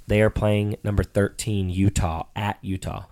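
A man's voice: on the low side, flat delivery, moderate pace, a little mellow, no echo, clean studio sound. A middle-aged man, a father co-hosting a casual chat about game picks, patient and dryly teasing in a matter-of-fact way.

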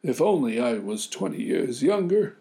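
Man acting a kindly, frail old grandfather, pitched up. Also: big pitch swings, normal speed, dark tone. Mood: willing, regretful.

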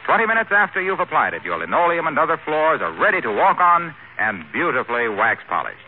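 A man's voice: fairly high pitch, big pitch swings, fast talker. An American man reading a 1940s radio advertisement as an announcer, polished and persuasive. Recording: tinny, faintly hissy 1940s radio broadcast.

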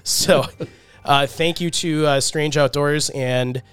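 A man's voice: a little high, fairly even intonation, slow pace, fairly bright tone, clear, resonant tone, no echo, faint music behind. Congested man co-hosting a podcast. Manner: loose, chatty, with many fillers. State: congested from a cold. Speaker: podcast co-host. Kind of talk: casual podcast chat.

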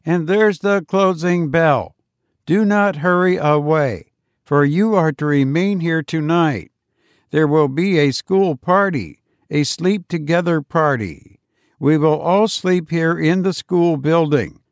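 A man voicing a calm, strict robot teacher who speaks flatly and without feeling. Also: on the high side, dry recording, clean studio sound, voice pushed up. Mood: instructive. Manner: flat, unfeeling, measured.

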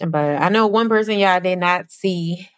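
A woman's voice: lowish voice, normal speed, smooth, no room sound, clean studio sound. A young adult woman co-hosting a podcast, chatting casually in slang and laughing often. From New Jersey. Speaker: podcast co-host.

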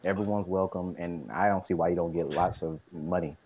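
A man's voice: low voice, steady tone, brisk, a little mellow, breathy edge. A man co-hosting a casual comedy chat, teasing and provoking with dry jokes. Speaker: interviewer, co-host. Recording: dull lo-fi sound, faint noise.

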